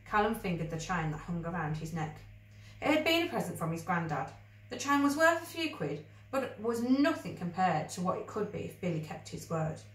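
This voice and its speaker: A woman reading a children's story aloud in an expressive, scripted storybook style. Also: lowish voice, brisk. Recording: echoey room, distant mic.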